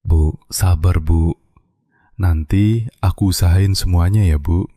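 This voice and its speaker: A meek satay seller with a Javanese accent pleads with his wife in a low, very dark, mellow voice, as a man acts him out. The cartoon recording is dry and close. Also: slow pace, no room sound. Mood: pleading. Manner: pleading, timid.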